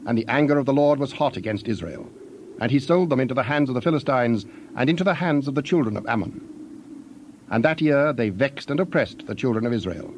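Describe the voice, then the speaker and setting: A man narrating scripture in a solemn, measured storytelling style. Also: medium pitch, animated, a little hiss or hum.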